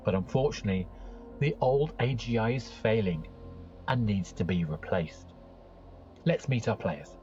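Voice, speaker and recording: A man's voice: on the low side, animated, moderate pace, long pauses, mellow, dull audio. A man hosting a show, reading a scripted introduction as dramatic narration. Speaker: show host. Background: faint music.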